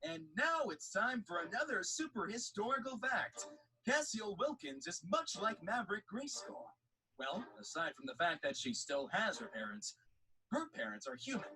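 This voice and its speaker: A man playing an excitable, rambling narrator of a superhero comedy series, full of mock-serious asides. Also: high voice, exaggerated voice, low-quality recording.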